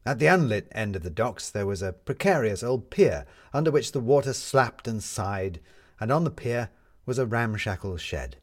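A man narrating a scripted story as an expressive storyteller. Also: lowish voice, normal speed.

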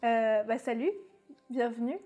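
Woman podcast host, slightly high, mellow tone, chatty and hesitant; faint music underneath. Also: unhurried, dull audio. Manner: chatty, with many hesitations and laughs. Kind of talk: casual remote podcast interview. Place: home, remote call.

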